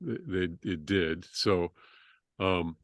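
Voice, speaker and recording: Middle-aged man with a very low, deep voice, a surgeon guest recounting his past in a halting, casual way, close dry sound. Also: fairly even intonation, dry recording. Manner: halting, many restarts and fillers. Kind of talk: conversational storytelling interview.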